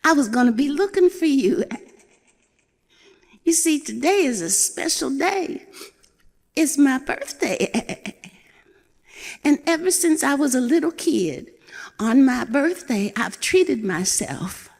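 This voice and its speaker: A woman voicing a wily old female nanny goat who bluffs in a high, crafty, boastful voice. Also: very animated, slow pace, vocal fry, pauses often, darkish tone, full character voice. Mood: boastful, sly. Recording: hall reverb.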